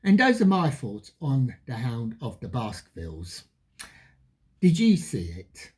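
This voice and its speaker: A film reviewer speaks slowly with a husky tone. The pitch rises and falls expressively, giving the deliberate phrasing an enthusiastic, emphatic feel as they address viewers directly. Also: wildly swooping pitch, a little mellow.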